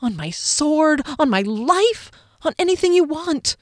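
A woman's voice: a little high, expressive, normal speed, no echo, studio quality, natural voice. A woman voicing a wounded young swordswoman in an audiobook, breathless and pleading, her voice strained. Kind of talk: audiobook character voice.

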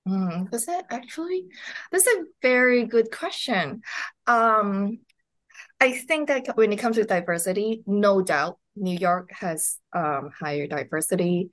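A woman's voice: mid-range voice, animated, measured pace. A woman from Hong Kong chatting casually as an interview guest, with informal phrasing full of "like". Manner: informal, peppers talk with "like". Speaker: podcast guest.

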